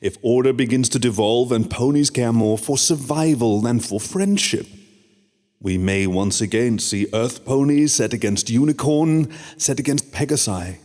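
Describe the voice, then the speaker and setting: A man playing a scheming, oily male pony courtier in a fan-made radio drama, speaking in a smooth, fawning and sly way. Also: medium pitch, moderate pace, darkish tone, lightly acted. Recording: long hall reverb.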